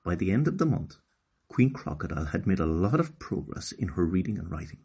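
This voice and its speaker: A man narrating a children's story as an expressive storyteller. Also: low-pitched, brisk, husky, highs cut off.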